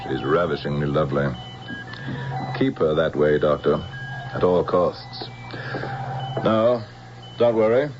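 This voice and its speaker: Formal sinister general, really low voice, dull old radio drama sound. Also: male voice, slow, long pauses. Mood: politely menacing. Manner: formal, commanding. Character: smooth, menacing imperial general. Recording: band-limited vintage radio recording with music.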